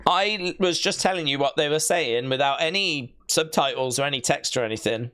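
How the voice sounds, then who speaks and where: A man hosting a casual livestream, chatting to viewers in a rambling, joking way with deadpan asides. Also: a little high, fairly quick, clear, resonant tone. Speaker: livestream host. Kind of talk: casual watch-along chat, reading viewer comments.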